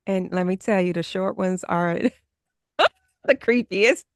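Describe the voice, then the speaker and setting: A woman's voice: medium pitch, slow, no room sound, studio quality. A woman hosting a book podcast in a conversational way, putting questions to a guest and addressing listeners. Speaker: podcast host.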